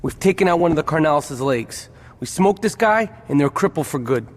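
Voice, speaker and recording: Brash, higher-voiced young gangster. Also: male voice, animated, brisk, big-room sound. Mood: confident. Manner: blunt street talk. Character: brash, practical young male gang enforcer. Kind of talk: video game cutscene acting.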